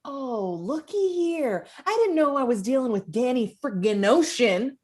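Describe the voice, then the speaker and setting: A woman's voice: high-pitched, animated, measured pace, darkish tone. Woman acting a brash, shady dealer with swooping intonation. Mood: cocky, teasing. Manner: cocky, wisecracking. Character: shady, brash woman selling poison and racing coyotes. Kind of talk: comedy pilot table read.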